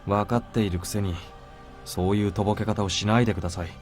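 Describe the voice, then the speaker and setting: A man plays an earnest male soldier in a visual novel, polite yet challenging toward his superior. The voice is fairly low and very quick, over background music. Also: steady tone, a little mellow, lightly acted. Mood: frank, reproving. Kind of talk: Japanese visual novel voice acting. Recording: game music under the voice.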